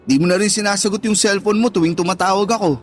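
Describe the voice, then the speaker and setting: A man's voice: sits high, quick pace, faint music behind, close to an everyday voice. A man with a Filipino accent acting an affectionate, needy young grown son in an emotional radio drama. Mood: apologetic, chiding.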